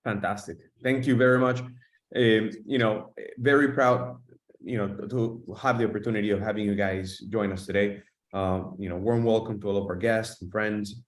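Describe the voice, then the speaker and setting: A man's voice: on the low side, steady tone, moderate pace. Webinar host man in an echoey room. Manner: welcoming, loose with fillers. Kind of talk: hosting an online webinar.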